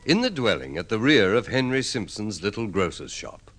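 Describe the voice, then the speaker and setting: Measured, expressive male radio announcer. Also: on the low side. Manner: measured, formal. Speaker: announcer, narrator. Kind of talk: scripted old-time radio narration. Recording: old radio recording with music.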